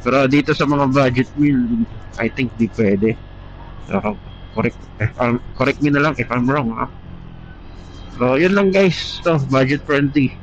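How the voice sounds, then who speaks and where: A man's voice: medium pitch, a little slow. Congested Filipino man on a dull intercom mic. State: congested with a cold. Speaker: motovlogger reviewing gear. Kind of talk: casual vlog chat. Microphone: helmet Bluetooth intercom. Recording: dull, noisy Bluetooth intercom mic, vehicle sound.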